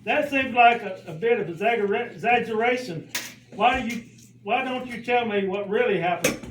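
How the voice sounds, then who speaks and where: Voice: medium pitch, normal speed, fairly bright tone, lightly acted. A sensible puppet guide voiced by a performer in a children's church show. The delivery is patient and instructive. It sounds roomy and distant, with faint background noise. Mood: calming. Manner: instructive, questioning.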